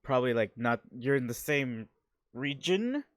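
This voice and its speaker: A male host of a casual online show talks in a loose, rambling way, full of fillers and restarts. His pitch sits in an ordinary middle range. The sound is dry, with almost no room echo. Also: sing-song, unhurried. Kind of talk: casual online interview with a role-played intro.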